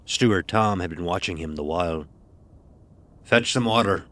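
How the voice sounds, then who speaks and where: Man playing a low-voiced stern ship's officer. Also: normal speed, a bit bright. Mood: stern commanding. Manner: commanding, archaic nautical speech. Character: stern, gruff ship's officer recounting a sea tale. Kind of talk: first-person dramatic storytelling, audio horror.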